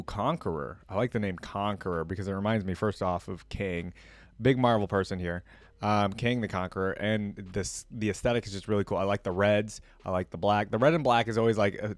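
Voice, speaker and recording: A man's voice: on the low side, dry recording. A man giving casual reaction commentary, thinking aloud in informal, slangy language. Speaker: reaction video creator.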